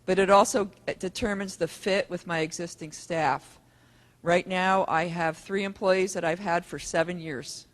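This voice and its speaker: A man from the conference audience telling his own experience, rambling and halting, often restarting. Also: sits high, steady tone, fairly bright tone. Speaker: audience member. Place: conference room.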